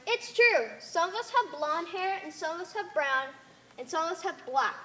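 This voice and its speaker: A woman's voice: extremely high pitch, animated, moderate pace, a bit bright. A woman playing a stubborn, candid Earth girl among aliens in an amateur stage play, plain-spoken and emphatic. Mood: insistent. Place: theater stage.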